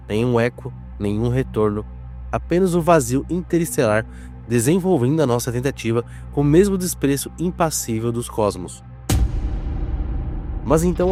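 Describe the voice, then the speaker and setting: A man with a Brazilian accent narrating a scripted horror mock documentary in a solemn, measured, dramatic manner. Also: medium pitch, darkish tone.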